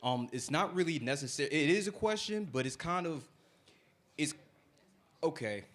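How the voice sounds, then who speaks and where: A man's voice: a little high, expressive, normal speed, fairly bright tone. A man in his thirties from New Jersey asking a roundabout question from the audience of a community panel. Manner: roundabout, many fillers. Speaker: audience member.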